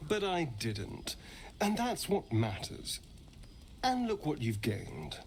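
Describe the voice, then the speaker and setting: A man's voice: on the high side, wildly swooping pitch, slow, slight background noise, full character voice. A man playing a sly, hungry vampire in a video game, with a theatrical, coaxing delivery. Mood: satisfied, sly. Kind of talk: video game character acting.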